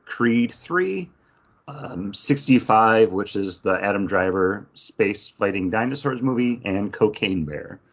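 A man's voice: on the low side, expressive, slow, highs cut off. A man co-hosting a podcast, chatting casually about pop culture. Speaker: podcast co-host.

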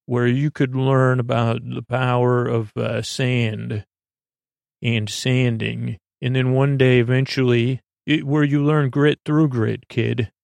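A gravelly, streetwise imaginary pal acted out by a man works like a wheedling old-school huckster. He pitches to a kid in a folksy way on a comedy podcast, in a creaky mid-pitched voice recorded dry. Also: flat delivery, slow, a little mellow, no echo. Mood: earnest selling. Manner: streetwise salesman, calls listener "kid".

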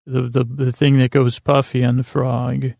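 A man hosting a sleep podcast in a creaky, tired voice, rambling haltingly through tangents and often interrupting himself. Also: mid-range voice, flat delivery, a little mellow, no echo, clean studio sound. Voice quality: creaky, croaky voice. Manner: meandering, halting, self-interrupting, trailing off. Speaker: podcast host.

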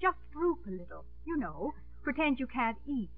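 Exasperated mother, higher register, dry wit, old radio drama, phone-like sound. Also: sing-song, faint music behind, exaggerated voice. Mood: wry. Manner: dry, sardonic, brisk. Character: wry, exasperated mother of a teenage daughter. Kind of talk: 1950s radio comedy-drama acting. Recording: dry, narrow-band old radio recording.

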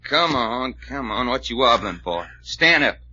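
A man's voice: mid-range voice, sing-song, measured pace, pushed and bright, husky, dry recording, heavily acted. A man playing a tough, folksy detective with a Southern drawl in an old-time radio drama. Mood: commanding. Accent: American Southern. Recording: muffled old radio broadcast recording.

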